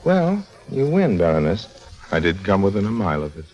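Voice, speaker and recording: A man's voice: low-pitched, huge pitch swings, brisk, lo-fi sound. An actor playing a wily, wisecracking con man in a 1950s radio drama, with a breezy, casual manner and sly asides. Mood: breezy, bantering. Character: wily wisecracking con-man and narrator of his own misadventures.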